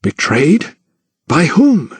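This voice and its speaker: A man's voice: mid-range voice, animated, moderate pace, slightly rough, dry recording. Man playing an earnest, formal young doctor. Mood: hurt. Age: young adult. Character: earnest, polite young male doctor, easily shaken.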